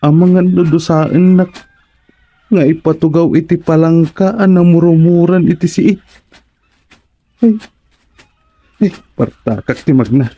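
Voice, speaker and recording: An actor with an Ilocano accent playing a timid, whining soldier in a radio comedy, full of sighs and wails. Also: male voice, on the high side, unhurried, long pauses, dark tone, no echo, dull audio. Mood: anxious, whining. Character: timid, cowardly, whining male soldier serving his king.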